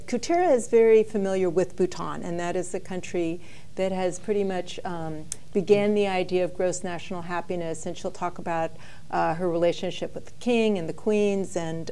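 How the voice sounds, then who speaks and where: Mellow-voiced woman hosting a talk show. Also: fairly low pitch, steady tone. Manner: friendly, halting introductions.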